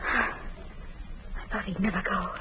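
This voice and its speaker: A woman's voice: mid-range voice, fairly even intonation, a little slow, close to an everyday voice. An actress playing a frightened young woman who hides from her jealous husband, pleading and breathless, in a 1940s radio drama. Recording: dull lo-fi old radio recording with faint hiss.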